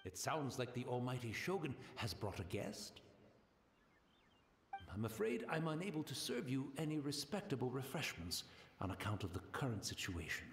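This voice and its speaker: A gentle blind old tea master. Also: male voice, mid-range voice, very animated, moderate pace, roomy sound, a little hiss or hum. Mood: humble. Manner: courteous, gentle, formal.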